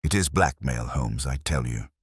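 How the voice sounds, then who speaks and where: A man's voice: a bass voice, lively intonation, normal speed, breathy edge, no room sound, clean studio sound, voice pushed down, heavily acted. A man voicing an agitated, insistent police inspector in an audiobook, with emphatic, old-fashioned formal speech. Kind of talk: audiobook character dialogue.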